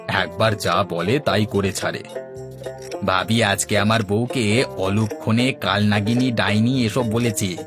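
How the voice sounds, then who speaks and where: A man plays an easygoing village husband in a cartoon, with a fairly low voice. He is henpecked, placating and wryly grumbling. Clear background music with plucked strings runs behind him. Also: lightly acted. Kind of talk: cartoon dialogue acting.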